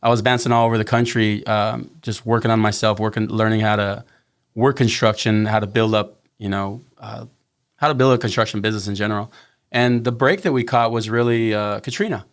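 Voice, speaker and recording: A man's voice: lowish voice, fairly even intonation, brisk. A middle-aged man from Mexico with a slight Mexican Spanish accent, chatting as a guest in an interview and often restarting his sentences. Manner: rambling with restarts and repeated words. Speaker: guest, construction company owner. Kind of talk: casual interview.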